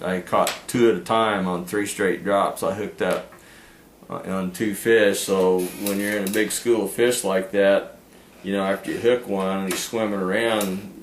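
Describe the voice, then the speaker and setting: A man's voice: low-pitched, slight background noise. A man telling fishing stories in a casual, rambling, folksy way, often trailing into "anyway" and "uh". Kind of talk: casual storytelling. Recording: echoey room with faint ambience.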